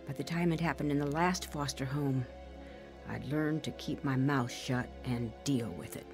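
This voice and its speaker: A woman's voice: a bass voice, moderate pace. A woman looking back on a hard childhood, sober narration, music behind. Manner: reflective, sober. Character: adult woman recounting her painful youth, reflective. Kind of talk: first-person dramatized testimony narration.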